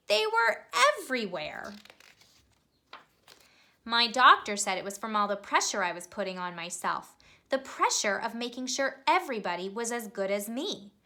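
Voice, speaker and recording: A woman reading a children's picture book aloud, telling it expressively and pausing to ask young listeners questions. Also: medium pitch, bright, forceful tone. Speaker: storybook reader.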